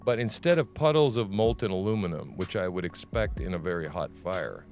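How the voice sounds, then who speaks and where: A man with a low baritone voice hosting a livestream, talking casually in a rambling, blunt and profane way. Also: expressive, a little fast, darkish tone, soft background music. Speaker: livestream host.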